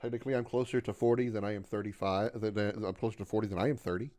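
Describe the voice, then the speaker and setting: A man's voice: lowish voice, fairly even intonation, very fast, a little mellow. A man in his thirties chatting casually with viewers on a game livestream. He rambles, repeats himself, stumbles over his words and runs himself down in a glum way. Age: 30s. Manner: glum and self-deprecating. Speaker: game streamer.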